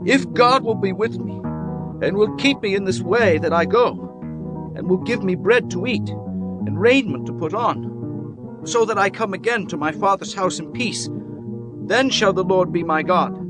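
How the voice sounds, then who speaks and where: A man's voice: sits high, lively intonation, a little slow, music in the background. A man voicing a devout young patriarch in a dramatized scripture reading, solemn and formal. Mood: awed vow. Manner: solemn, archaic formal diction. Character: devout young male patriarch, earnest and pleading.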